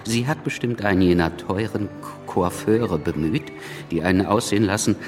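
A witty pamphleteer and editor played by a man, low in pitch, with a slight stammer. He sounds wry and precise, moving between narration and dialogue in a radio drama. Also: normal speed, faint music behind, lightly acted. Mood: sardonic admiring. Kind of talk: first-person radio play narration and dialogue.

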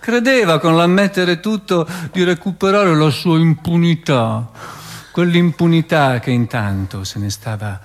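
A man's voice: fairly high pitch, expressive, a little slow. A man giving dramatic, theatrical scripted narration as a stage actor. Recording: reverberant stage hall with music.

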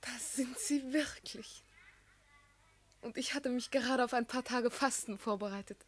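A woman's voice: a little high, a little slow. An actress playing an earnest, devoted and defiant young woman in love, speaking intense literary lines in a classic radio play. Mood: relieved.

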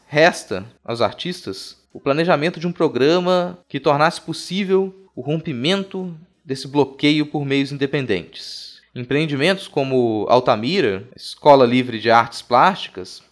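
A Brazilian man presents a scripted podcast narration in a medium-pitched voice. He reads in an expository way, stepping out now and then for short explanatory asides. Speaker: podcast host. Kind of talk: scripted narration of art history.

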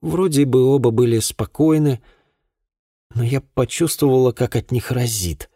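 A man voicing a distressed young housemaid in an audiobook, speaking in a halting, plaintive, anxious way. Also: mid-range voice, dark tone, slightly rough, dry recording, clean studio sound, voice pushed up. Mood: halting, dejected. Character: distressed young housemaid woman recounting an assault. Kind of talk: acted audiobook dialogue.

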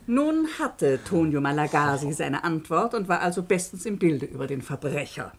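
An actress playing an assured doctor who narrates a case report in a dry, articulate, measured way in a German radio play. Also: female voice, low voice, sing-song, slight background noise. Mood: wry.

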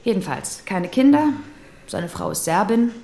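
Casting: police colleague, dry wit, brisk, animated intonation, roomy radio-play sound. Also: female voice, medium pitch, creaky, heavily acted. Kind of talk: German radio play acting. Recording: roomy echo, music behind.

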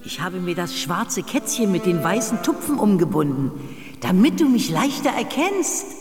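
A woman's voice: on the low side, big pitch swings, normal speed. A woman playing an insistent, dreamlike aunt calling to a child in a radio play. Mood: eerie, insistent. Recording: echoing reverb under loud music.

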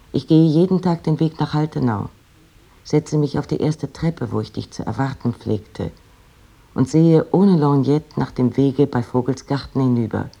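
An actor voicing a lovesick, yearning letter writer in an intimate, fervent dramatic reading of old letters. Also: male voice, mid-range voice, gravelly, warm tone.

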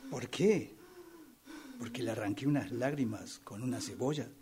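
A man's voice: medium pitch, moderate pace, crowd noise, natural voice. Man acting a menacing, insistent radio-play tormentor. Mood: indignant, self-justifying. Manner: insistent, self-justifying, formal address. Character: menacing yet soothing man coaxing tears from a woman. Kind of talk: radio drama workshop acting.

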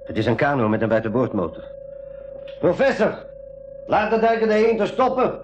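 An actor playing a knowing, cautioning expedition companion, instructive and matter-of-fact in a school radio play. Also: male voice, sits high, very animated, normal speed, music in the background. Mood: alert. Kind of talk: 1980s Dutch-language school radio play acting. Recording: dull, band-limited 1980s radio recording.

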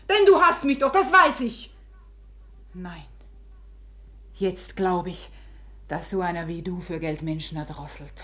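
An actress playing a proud, scornful, reckless society beauty in a theatrical, commanding manner in a radio play. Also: female voice, a little high, fairly bright tone, lightly acted. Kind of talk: German radio play acting.

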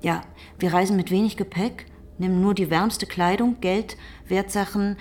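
An actress playing a determined farm woman in a radio play, decisive and urging, in short sentences with a husky, breathy voice. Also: mid-range voice, steady tone, moderate pace, a little mellow, natural voice. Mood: persuading. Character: determined, urgent farm woman planning secret escape. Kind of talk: German radio play acting.

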